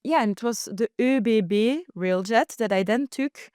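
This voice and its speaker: A young woman from Belgium hosts a solo podcast and tells her travel story in a chatty, lively way, with quick laughs. Her voice is smooth and resonant. It sounds close and dry, with no room around it. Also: a little high, no room sound. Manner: chatty and animated, laughs often, slips into quoted voices. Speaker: podcast host.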